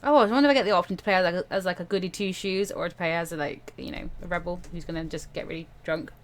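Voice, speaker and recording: A woman's voice: fairly low pitch, fast. A woman doing casual playthrough commentary on a visual novel, chatty and full of asides as she reacts to the story. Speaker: let's player reading a visual novel aloud. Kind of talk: casual playthrough commentary with read-aloud dialogue.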